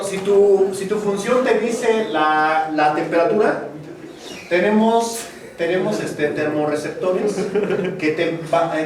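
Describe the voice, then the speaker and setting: A man's voice: on the high side, a little hiss or hum. A man with a Mexican Spanish accent teaching a university math class, chatty and joking between explanations. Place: large classroom. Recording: echoey classroom, distant, students murmuring.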